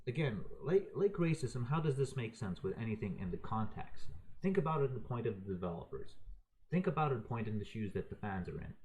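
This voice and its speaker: A man's voice: mid-range voice, very animated, quick pace, a little mellow, a little hiss or hum, rough recording. A man raised in Croatia giving an unscripted, heated and profane opinion rant, talking to his viewers in an exasperated way. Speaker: video ranter.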